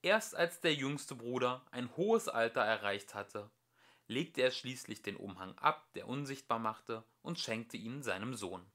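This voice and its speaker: Bright-voiced man reading a storybook expressively. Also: medium pitch, expressive, measured pace. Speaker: amateur audiobook reader.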